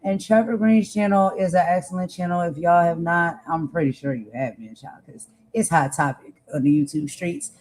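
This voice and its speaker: A woman host with a slightly raspy voice, chatting casually and loosely with lots of fillers and slang. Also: fairly low pitch, moderate pace, few pauses, darkish tone, slight background noise, low-quality recording. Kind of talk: casual chat.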